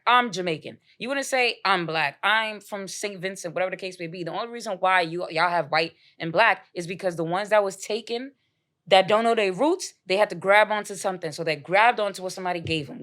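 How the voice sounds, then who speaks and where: A young woman from Haiti talking in a casual podcast discussion, animated and quick to laugh, arguing her point with conviction. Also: medium pitch. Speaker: guest.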